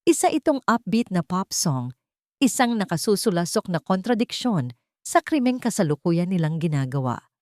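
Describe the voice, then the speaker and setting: Low-voiced woman narrating a dark tale. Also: very animated, moderate pace, no room sound, studio quality. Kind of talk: scripted dramatic narration.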